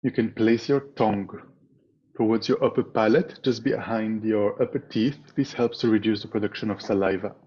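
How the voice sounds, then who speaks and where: Husky low-voiced man guiding a meditation. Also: steady tone. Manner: measured, pausing between short phrases. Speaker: meditation teacher. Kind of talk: guided meditation instruction. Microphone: video call microphone. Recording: slightly dull, lo-fi video call audio.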